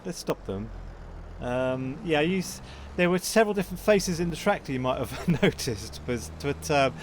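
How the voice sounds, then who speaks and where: Croaky man chatting through a farming game. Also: a little high, lively intonation, moderate pace, slight background noise. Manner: rambling, self-correcting, chuckles to himself. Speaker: gaming channel host. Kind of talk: casual farming-sim gameplay commentary.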